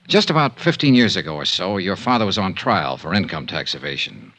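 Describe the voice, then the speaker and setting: An actor with an American accent playing a smooth, tough but tender insurance investigator in a 1950s radio drama, with measured, clipped delivery. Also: male voice, sits low, very animated, a bit bright, exaggerated voice. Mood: gentle, measured. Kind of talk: 1950s radio detective drama acting and narration. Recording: dull 1950s radio recording.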